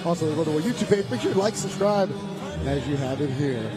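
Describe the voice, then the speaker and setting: A man's voice: on the high side, fast talker. A man giving live play-by-play commentary on a boxing match and questioning his guest analysts. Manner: energetic, asks guests questions. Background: music and crowd noise.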